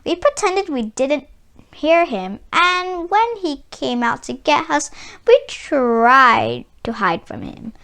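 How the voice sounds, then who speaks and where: A woman reading a children's storybook aloud in an expressive, animated way, with dramatic pauses and emphasis. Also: sits high. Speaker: children's book read-aloud narrator. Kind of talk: expressive scripted storybook narration for kids.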